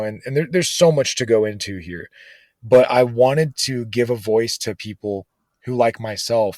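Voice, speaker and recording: A man's voice: mid-range voice, no room sound. A man being interviewed as a guest author, talking casually and earnestly with many fillers like "you know".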